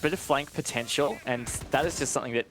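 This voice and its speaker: A man's voice: medium pitch, fast talker, fairly bright tone, faint music behind. A man giving analytical live esports commentary in a conversational way with casual asides.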